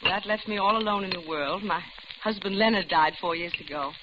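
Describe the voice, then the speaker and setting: An actress playing a lonely, polite middle-aged widow who talks on hesitantly, in 1940s radio drama style. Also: female voice, medium pitch, fairly quick, natural voice. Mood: wistful. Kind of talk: 1940s radio acting, first-person narration and dialogue. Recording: muffled, very noisy old radio recording.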